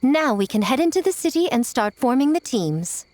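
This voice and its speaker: Sly female fox spirit, lightly high voice, animated melody, teasing, smug, elegant, game character. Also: moderate pace. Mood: breezy, self-assured. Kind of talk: video game voice acting.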